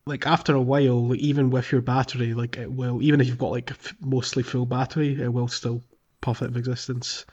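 Male podcast host, mid-pitched voice, casual gaming chat, slightly muffled lo-fi recording. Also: little pitch movement, brisk, a little mellow, slightly rough.

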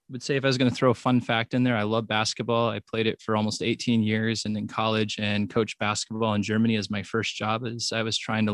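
Low, flat-voiced male podcast guest. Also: no echo. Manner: relaxed, hesitant with ums. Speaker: guest, educator. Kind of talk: interview conversation.

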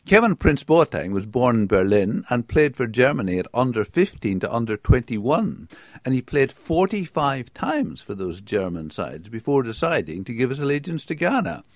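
A man's voice: mid-range voice, big pitch swings, moderate pace, dry recording. A man from the UK giving a conversational football report, enthusiastic, with hesitations and restarts. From United Kingdom.

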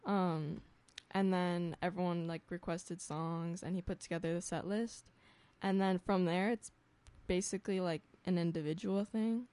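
A woman's voice: fairly low pitch, steady tone, moderate pace, few pauses, mellow. A young woman musician being interviewed on the radio, speaking hesitantly with many fillers. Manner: hesitant, many ums and likes. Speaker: guest musician. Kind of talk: casual interview. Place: radio studio.

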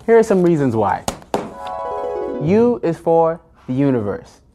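A male musician presents in a playful, theatrical style, parodying kids' educational TV. His delivery is unhurried and very animated in pitch, with prominent music behind it.

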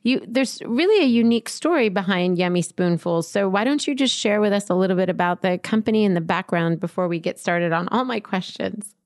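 A woman's voice: mid-range voice, animated, fairly quick, hardly any pauses, a little mellow, no echo, clean studio sound. Bubbly woman podcast host. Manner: bubbly and encouraging. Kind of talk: podcast interview.

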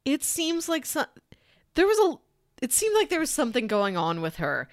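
A woman's voice: high voice, wildly swooping pitch, moderate pace, no room sound, clean studio sound. A woman co-hosting a podcast in casual, playful, conversational chat. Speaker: podcast co-host.

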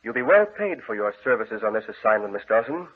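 A solemn, commanding gentleman with a husky, fairly low voice. He speaks formally, in the manner of vintage radio horror, on a tinny old recording. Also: big pitch swings, quick pace. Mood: firm, commanding. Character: grave, controlling gentleman guarding his deranged brother. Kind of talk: 1940s radio horror acting.

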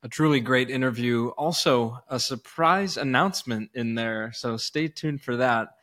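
A man's voice: medium pitch, a little slow, a bit bright. A man co-hosting a podcast, introducing and interviewing guests in an upbeat, enthusiastic way.

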